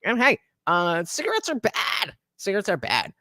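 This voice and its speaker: A man's voice: sits high, huge pitch swings, normal speed, sharp tone, dry recording. A man hosting a live stream, reacting to a clip with wry, hesitant commentary. His voice swings widely up and down, sounding close and dry with no room behind it. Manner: hesitant, many uh fillers, sardonic asides.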